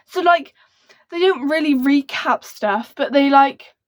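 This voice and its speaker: A young woman who speaks in a soft, quiet voice, chatting to camera about books in a rambling, gushing way. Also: high voice, unhurried, dry recording. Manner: rambling and gushing, full of fillers. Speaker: book vlogger. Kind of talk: casual book chat to camera.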